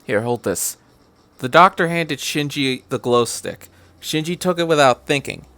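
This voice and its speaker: A man's voice: fairly high pitch, moderate pace, pushed and bright, clear, resonant tone, no room sound. A man reading a piece of fan fiction aloud as a scripted story narration.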